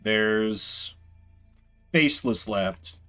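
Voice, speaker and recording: A man's voice: fairly low pitch, very slow, pushed and bright, clear, resonant tone, faint music behind. A man giving casual live game commentary as a streamer, rambling with playful, self-deprecating asides.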